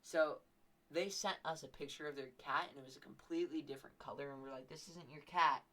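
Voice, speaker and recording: A man's voice: fairly high pitch, a little fast, bright, forceful tone. A young man hosting a podcast, telling a story casually in a rambling, playfully exaggerated way. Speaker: podcast host.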